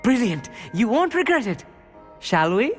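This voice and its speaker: A bookish teenage student wizard for a video game. He is earnest, nervous and slightly self-important, with very lively pitch swings. Faint music plays behind him. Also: measured pace, full character voice. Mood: eager, jittery. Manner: earnest, slightly pompous, chatty. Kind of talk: video game character acting.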